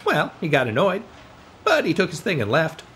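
An actor playing a brusque, slangy working-class catering worker in a parody of old-time radio drama. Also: male voice, fairly high pitch, wildly swooping pitch, moderate pace, a bit bright, a little hiss or hum. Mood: offhand. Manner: blunt, slangy, folksy. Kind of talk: parody 1940s radio acting.

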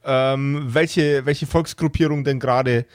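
A man's voice: mid-range voice, fairly even intonation, slow pace, clear, resonant tone, no echo, studio quality. A man talking casually and emphatically as a game master, often repeating words for emphasis. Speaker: podcast host and game master. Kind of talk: casual group discussion of a role-play campaign.

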